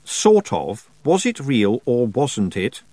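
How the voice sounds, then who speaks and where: A man voicing a practical young girl witch who speaks in a sharp, commanding way. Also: mid-range voice, huge pitch swings, slow, dry recording, exaggerated voice. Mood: insistent. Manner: insistent, commanding.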